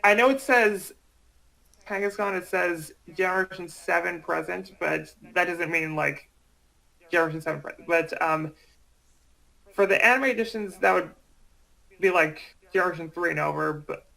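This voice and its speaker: Man, creaky voice, forceful edge, casual explaining, halting, self-correcting. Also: high-pitched, rough recording. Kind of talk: casual chat.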